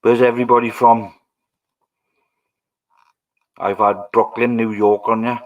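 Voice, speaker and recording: A man's voice: mid-range voice, little pitch movement, measured pace, lo-fi sound. A Welsh man hosting a casual livestream, rambling and musing aloud to his viewers. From Wales. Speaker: livestream host.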